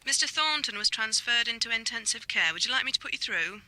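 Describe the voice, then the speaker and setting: A woman's voice: a little high, animated, fast talker, bright, forceful tone. An actress playing a polite, businesslike switchboard operator in a radio drama, heard over a telephone. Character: brisk, procedural female telephone operator. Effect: telephone line. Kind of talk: naturalistic radio drama acting. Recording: noisy, clicking telephone line.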